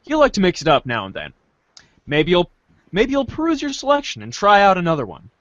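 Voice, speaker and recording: A podcast host reads a webcomic script out loud in a playful, theatrical way. The pitch swings around a lot. The recording is dry and a little dull. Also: huge pitch swings, a little fast, dry recording. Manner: playful, theatrical page-change calls. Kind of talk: dramatic group reading of a webcomic script.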